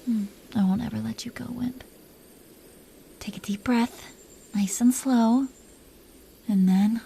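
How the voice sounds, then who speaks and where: A woman's voice: fairly high pitch, fairly even intonation, slow, mellow. Woman playing a tender, teasing dragon queen, ambient noise. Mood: whispering, soothing. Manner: tender, playfully teasing, breathy whispers at times. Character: protective, teasing, doting dragon queen lover. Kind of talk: intimate roleplay audio, soft acting.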